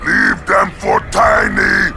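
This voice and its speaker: A man voicing a huge dim-witted brute in a video game, gruff and blunt, with broken childlike grammar. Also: high voice, huge pitch swings, takes it slowly, sharp tone, faint music behind, exaggerated voice. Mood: aggressive bellowing. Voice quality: gruff, gravelly. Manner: blunt, bellowing, childlike third-person grammar. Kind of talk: video game henchman acting.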